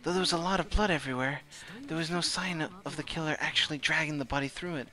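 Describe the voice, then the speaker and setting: A man in a casual, jokey amateur game dub with friends, loose and quick to swear. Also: a little high, sharp tone, poor audio. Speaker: amateur fan dubber.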